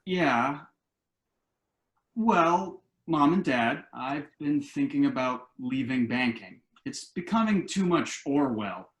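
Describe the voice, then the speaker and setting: A man's voice: mid-range voice, lively intonation, slow, a bit bright. Man playing a glib grown son, roomy echo. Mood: hesitant, fed up. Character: glib, enterprising grown son pitching shady business schemes. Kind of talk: stage-play acting in a virtual table read. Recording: roomy, echoing home recording.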